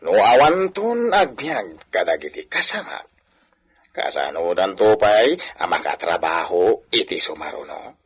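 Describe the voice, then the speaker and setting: A man's voice: low-pitched, big pitch swings, unhurried, slightly rough, heavily acted. An Ilocano-accented man acting a landowner's familiar, prodding friend in a radio drama, with a theatrical, questioning delivery. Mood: questioning, urging. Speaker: actor in a radio comedy drama.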